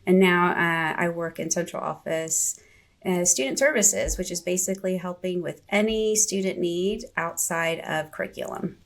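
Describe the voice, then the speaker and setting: A woman in her forties, a school administrator talking as an interview guest, warm and chatty, laughing often. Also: lowish voice, normal speed. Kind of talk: casual interview chat.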